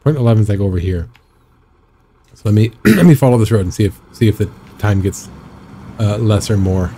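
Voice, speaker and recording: A man in his thirties chatting casually while live-streaming a driving game, rambling with a slightly raspy, scratchy throat. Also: lowish voice, a little fast, mellow. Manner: rambling, chatty, reads and answers chat.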